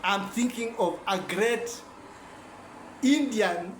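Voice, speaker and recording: A man's voice: high-pitched, takes it slowly, roomy sound, slight background noise. A man giving a rambling, self-correcting informal lecture.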